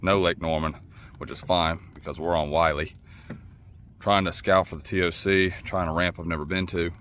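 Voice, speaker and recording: A man's voice: sits low, fairly even intonation, moderate pace. A man in his thirties giving casual, laconic fishing vlog commentary from a kayak out on a lake. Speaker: kayak angler and vlogger. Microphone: action camera mounted behind him on the kayak. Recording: loud outdoor water and boat noise.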